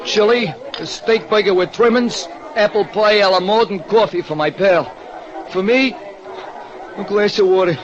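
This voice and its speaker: A man voicing a gloomy, excitable working-class American diner owner in 1940s radio style, plaintive and slangy. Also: high voice, normal speed, a faint crowd, pitched above the performer's own voice, natural voice. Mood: worried. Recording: dull, noisy old radio recording.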